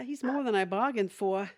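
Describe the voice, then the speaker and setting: A woman's voice: medium pitch, unhurried, dry recording, clean studio sound, lightly acted. An actress playing a plain-spoken, wry, hesitant woman who longs to be braver, in an audio play. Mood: hesitant, wistful. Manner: folksy, candid, wry. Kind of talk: audio theatre acting.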